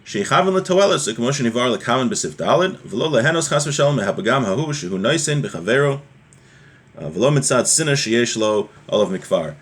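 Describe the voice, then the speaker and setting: A man's voice: mid-range voice, animated, a little fast, breathy edge. A man giving an informal religious lesson, reading and explaining as he goes and putting rhetorical questions to the listener. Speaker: religious teacher.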